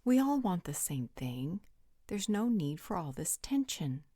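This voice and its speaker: A woman playing a level-headed, compassionate female peacemaker, gentle and conciliatory, in a scripted roleplay audio drama. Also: on the low side, big pitch swings, unhurried, dry recording, clean studio sound.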